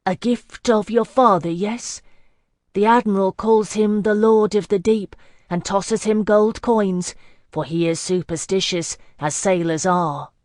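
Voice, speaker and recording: A woman's voice: lowish voice, steady tone, a little slow, darkish tone, dry recording, close to an everyday voice. A once carefree young prince, now desperate, as a woman reading the audiobook puts him on. His lines come raised, strained and urgent, lifted above the narration. The sound is close and dry. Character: young man, careless prince turned desperate.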